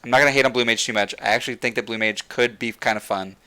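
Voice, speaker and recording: A young man talks to his livestream chat, building his points with repeated words and restarts. His voice is bright and tense. He is audibly losing his voice as he goes. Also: mid-range voice, fairly even intonation, very fast, smooth. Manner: passionate, repeats words while thinking. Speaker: livestream host. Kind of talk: casual gaming rant to chat.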